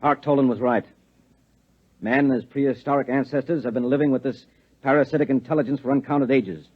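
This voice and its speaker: An actor playing a stern, insistent husband with grim secrets in a 1950s radio drama. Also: male voice, medium pitch, fairly even intonation, a little fast, husky, natural voice. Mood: grim, persuasive. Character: hard, controlling government husband with grim secrets. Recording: dull, lo-fi 1950s radio recording.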